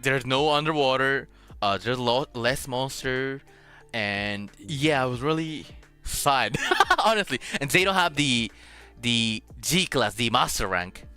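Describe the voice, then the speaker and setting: A man hosts a relaxed streamed radio chat as a native Japanese speaker. His voice is bright and swings in pitch while he pauses and searches for words. Music plays faintly underneath. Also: clear, resonant tone. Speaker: host of a streamed radio show. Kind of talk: casual chat, switching between English and Spanish.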